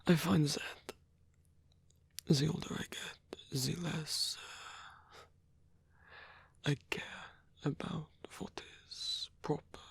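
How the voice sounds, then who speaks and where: A man voicing a lonely old male vampire in an ASMR roleplay, gentle, rambling and bookish, with a soft, breathy, creaky voice and a halting, musing delivery. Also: fairly high pitch, full character voice. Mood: flirtatious, musing. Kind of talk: ASMR roleplay audio addressed to the listener.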